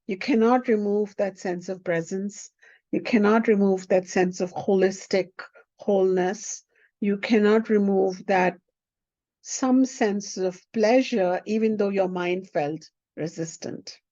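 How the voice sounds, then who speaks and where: Slow, warm woman teacher, native Hindi speaker, checks in with listener; dry, dull lo-fi recording. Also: mid-range voice, no room sound. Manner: unhurried, often checks in with the listener. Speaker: spiritual teacher. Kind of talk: teaching a spiritual class.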